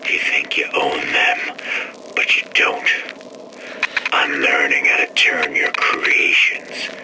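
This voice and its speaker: Combative embittered rival, very low voice, slow sardonic menace, game audio log, noisy recording. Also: male voice, level tone. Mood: menacing. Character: bitter, combative male rival swearing revenge. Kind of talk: game audio diary acting.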